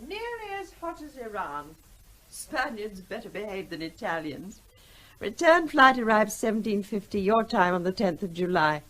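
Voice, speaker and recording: An actress playing a brisk, nagging middle-aged wife and mother in a radio drama, bossy, practical and pointed. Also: medium pitch, very animated, fairly bright tone, poor audio. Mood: brisk, curious. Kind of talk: naturalistic radio drama acting.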